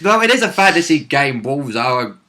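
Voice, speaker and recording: A man chatting casually as a player in a tabletop game recap, jokey and quick to interject. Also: fairly high pitch, sing-song, background noise. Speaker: player and co-host of tabletop role-play recap. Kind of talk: casual chat.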